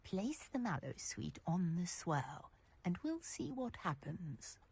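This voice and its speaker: A woman's voice: on the low side, big pitch swings, takes it slowly, gravelly, a little mellow, slightly rough, full character voice. An actress playing an eager, exclamatory female scholar of ancient magic in a video game. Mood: earnest, explaining. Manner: eager, exclamatory, British period phrasing. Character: excitable bookish female researcher of ancient magic trials. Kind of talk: video game character acting.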